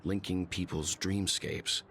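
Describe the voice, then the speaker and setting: A man's voice: sits low, steady tone, slow pace, creaky, fairly bright tone, faint music behind, exaggerated voice. An actor playing a wise, world-weary traveler in a game, telling his story in a grave and thoughtful way. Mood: solemn. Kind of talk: scripted game dialogue, solemn storytelling.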